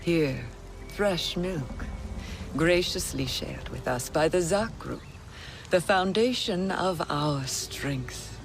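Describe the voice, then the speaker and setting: An actress playing a measured, formal alien clan member who shares gossip and lore in a video game cutscene. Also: female voice, fairly low pitch, expressive, over music. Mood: solemn, wistful.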